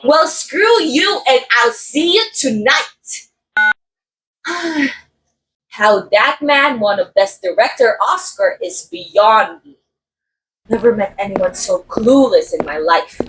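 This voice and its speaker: A woman's voice: fairly high pitch, animated, slow, fairly bright tone, poor audio. A woman acting as a haughty, catty film actress in an audio roleplay, with a theatrical, sardonic and condescending delivery. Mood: irritated, dismissive. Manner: theatrical, sardonic, drawling some words for emphasis. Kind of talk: ASMR roleplay monologue, voice acting.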